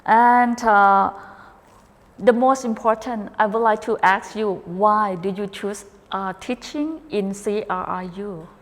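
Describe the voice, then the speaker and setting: A woman's voice: mid-range voice, fairly even intonation, slow pace, long reverb tail. A woman hosting a casual radio interview, asking simple questions in plain, non-native phrasing and filling the gaps with short encouraging sounds. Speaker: radio host.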